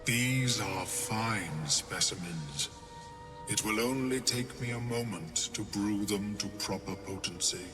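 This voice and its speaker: A courteous mind flayer wizard for a game, real slow and formal, big long reverb, music under it. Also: male voice, fairly low pitch. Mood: calm, courteous. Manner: measured, formal, precise. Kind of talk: video game character acting.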